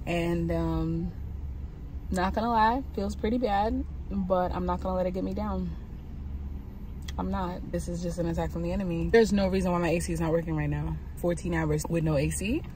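A woman's voice: lowish voice, steady tone, moderate pace, warm tone, a little hiss or hum, poor audio. A young woman talking casually and candidly to her phone camera, mostly from inside a parked car, upbeat despite her troubles. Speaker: vlogger. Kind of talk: casual vlog chat. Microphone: phone held at arm's length.

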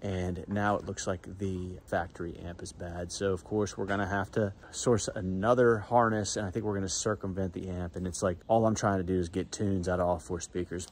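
Low-voiced man chatting casually over background noise. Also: little pitch movement, a little fast, hardly any pauses. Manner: informal, slangy. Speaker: car channel host working on his own Porsche builds. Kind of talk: casual vlog commentary, talking to camera.

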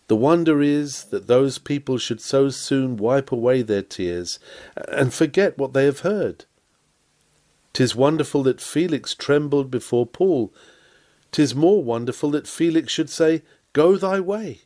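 A man's voice: mid-range voice, a little mellow. A man reading a historic sermon aloud in a solemn, declamatory, exhorting manner with dramatic archaic oratory.

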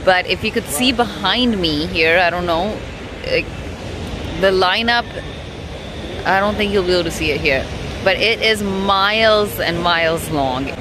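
A woman in her thirties, chatty and excited, talking to viewers into a phone held at arm's length, in a car and then outdoors. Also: lowish voice, measured pace. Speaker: travel vlogger. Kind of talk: casual travel vlog. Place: in a car, then outdoors at crowded sightseeing spots. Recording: loud background street noise.

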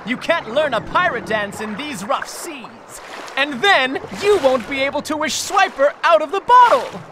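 A woman's voice: high voice, animated, unhurried, noisy background. A woman voicing a sneaky, mischievous male elf villain in a children's video game, with a gloating, taunting manner.

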